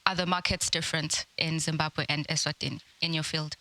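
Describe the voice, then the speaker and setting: Woman interviewer, bright and forceful tone, dry room, friendly and affirming. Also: deep voice, little pitch movement, no echo. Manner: friendly, quick affirmations and laughs. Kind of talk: casual interview. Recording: dry studio sound.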